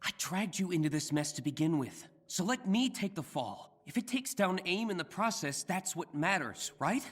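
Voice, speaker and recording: A man's voice: sits high, big pitch swings, moderate pace, husky, heavily acted. A man voicing an earnest young superhero in a video game, with an American accent and a hesitant, self-deprecating manner. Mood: determined. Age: young adult. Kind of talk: naturalistic video game voice acting.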